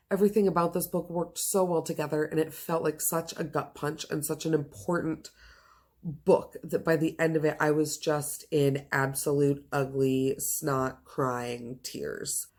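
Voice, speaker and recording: A woman's voice: low-pitched, steady tone, unhurried, darkish tone. A woman hosting a casual book chat, speaking to viewers with gushing, emphatic enthusiasm and stretching the words she stresses. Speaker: book reviewer and video host.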